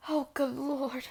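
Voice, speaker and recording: A young woman voicing a fragile girlfriend with asthma in an audio roleplay, talking softly in a half-whispered, pleading way. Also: sits high, heavily acted. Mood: tired scolding. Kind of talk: audio roleplay, spoken to the listener.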